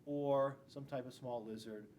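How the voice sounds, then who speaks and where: A man's voice: mid-range voice, steady tone, a little slow, a little mellow, a little hiss or hum. A middle-aged man, a school police officer, reading a picture book aloud to young children, stressing key words and adding asides. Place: indoors in a school classroom.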